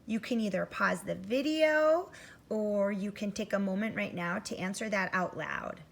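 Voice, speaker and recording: A woman's voice: medium pitch, moderate pace, vocal fry. An American woman teacher doing an interactive read-aloud for first graders, addressing young listeners directly and instructively. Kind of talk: interactive children's story read-aloud with comprehension questions.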